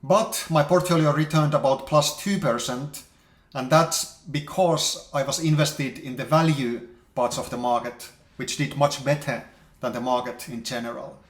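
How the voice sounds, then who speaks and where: A man giving a measured, explanatory investment presentation, walking through charts as an investor. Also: medium pitch. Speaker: investor presenting market outlook. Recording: roomy, echoey sound.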